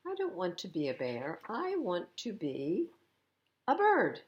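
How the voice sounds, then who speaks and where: A woman voicing a young male storybook bunny who is discontented and stubbornly wishful, with emphatic, drawn-out declarations. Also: lowish voice, huge pitch swings, dull audio, full character voice.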